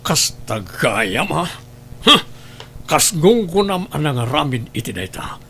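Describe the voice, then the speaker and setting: Man acting a menacing, higher-pitched bullying father. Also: sing-song, slow, sharp tone, a little hiss or hum, full character voice. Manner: menacing, domineering. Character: cruel, bullying father and master, greedy and hot-tempered. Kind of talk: Ilocano radio-style family drama acting.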